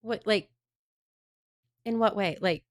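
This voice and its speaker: A chatty woman streamer from the American South talks loosely with her viewers, rambling from one thought to the next as she answers their messages. Her voice sits at an ordinary, middle pitch for a woman. The sound is dry and close, with no room echo. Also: slow. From Southern United States. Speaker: livestreamer. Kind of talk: casual livestream chat with viewers.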